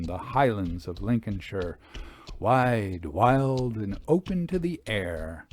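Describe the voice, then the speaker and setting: A man reading a literary travel tale aloud in a theatrical, expressive way with deliberate phrasing. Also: on the low side. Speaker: audiobook reader, storyteller. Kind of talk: dramatic literary narration of a Victorian travel memoir.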